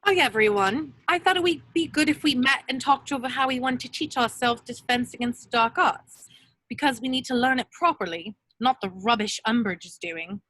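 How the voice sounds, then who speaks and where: A woman's voice: on the high side, moderate pace, fairly bright tone, dry recording, poor audio. A woman playing an earnest, bossy, bookish teenage schoolgirl in an amateur table read. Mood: earnest, determined.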